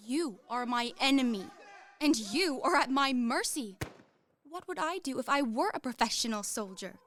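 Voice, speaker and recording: A woman's voice: sits high, expressive, normal speed, a bit bright, a little hiss or hum. A woman acting in a radio play as a proud, romantic young lady of a wealthy family, lofty and declamatory. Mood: indignant, scornful.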